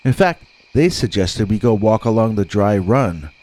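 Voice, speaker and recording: Guy with a lowish voice reading creepy stories, dramatic and deliberate, draws out words. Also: lively intonation, warm tone. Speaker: narrator of horror stories. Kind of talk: scripted scary story narration.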